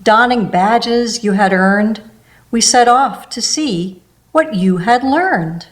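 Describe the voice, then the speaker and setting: Slow, gentle woman reading a storybook. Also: fairly high pitch. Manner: slow, gentle rhyming delivery with small spoken asides. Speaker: storybook reader. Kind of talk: reading a rhyming picture book aloud.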